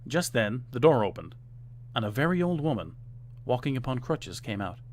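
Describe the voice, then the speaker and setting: A man's voice: mid-range voice, big pitch swings, normal speed. A man reading a fairytale aloud as scripted storybook narration.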